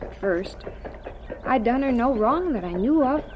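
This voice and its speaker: Woman acting a tender churchgoing farm mom in a radio play, highish voice, slight noise behind. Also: animated, a little slow. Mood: tender, wistful. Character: gentle, devout farm mother with quiet dignity.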